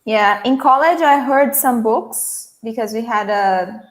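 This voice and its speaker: A woman with a Brazilian accent and a moderately high pitch talks in a casual, conversational way. She is a native Portuguese speaker working as a language teacher in a live online class. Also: measured pace.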